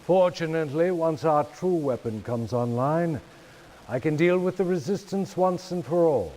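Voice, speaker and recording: An older man playing a falsely polite, menacing corporate chairman in a video game, speaking in a measured, condescending way. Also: on the high side, mellow, a little hiss or hum, close to an everyday voice, low-quality recording. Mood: cold, gloating. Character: smooth, menacing older male chairman of a ruthless consortium. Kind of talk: dramatic video game cutscene acting.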